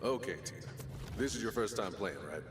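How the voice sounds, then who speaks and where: A man's voice: fairly low pitch, animated, fairly quick, background noise, natural voice. A man playing a patient, earnest game master who guides a newcomer, in conversational video game acting. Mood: encouraging. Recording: echoey, loud ambience behind.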